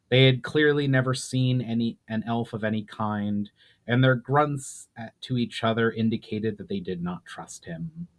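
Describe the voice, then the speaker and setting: Man reading a fantasy story aloud. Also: mid-range voice. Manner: measured reading with occasional stumbles and self-corrections. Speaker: author reading own book aloud. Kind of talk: dramatic audiobook-style narration.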